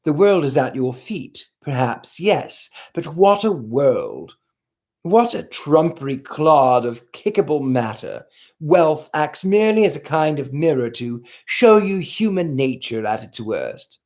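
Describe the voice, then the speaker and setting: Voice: medium pitch, very animated, unhurried, darkish tone. A reader voicing a worldly, cynical aristocratic prince in a novel read aloud, speaking with composed scorn. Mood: scornful. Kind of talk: audiobook character voice.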